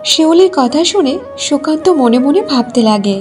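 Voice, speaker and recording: A woman's voice: high voice, animated, normal speed, darkish tone. A woman narrating a cartoon folk tale and voicing its village wives. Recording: music behind the voice.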